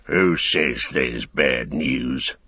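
A man's voice: sits low, takes it slowly, gravelly, slightly rough, dry recording, highs cut off, full character voice. A man voicing a big, brutish male orc soldier in a storybook, snarling threats like a menacing bully. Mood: gruff, surly.

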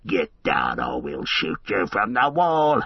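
A man voicing a band of savage orc warriors in a gruff, mocking, taunting way, on a dull lo-fi recording. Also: sits low, huge pitch swings, slow, no echo, recorded from a distance, pitched below the performer's own voice, exaggerated voice. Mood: threatening. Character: brutish male orc warriors, jeering, savage.

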